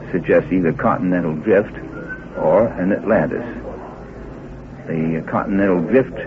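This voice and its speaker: An older man, a scientist being interviewed, speaking haltingly with learned wording as he searches for phrases. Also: sits low. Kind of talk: informal recorded interview. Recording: narrow-band field tape, background chatter.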